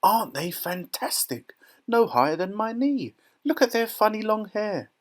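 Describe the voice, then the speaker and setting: Very high, astonished storybook onlooker. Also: male voice, big pitch swings, normal speed. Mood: amazed. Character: excited, astonished crowd member, high-pitched and clamouring. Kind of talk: children's storybook character voice.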